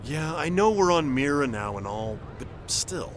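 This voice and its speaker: A man's voice: a little high, big pitch swings, normal speed. An actor playing a cocky, wheedling American soldier in a game, slangy and cajoling as he talks people round. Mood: affronted, pleading. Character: cocky, wheedling male soldier pitching shady tips. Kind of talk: game cutscene acting. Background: faint music.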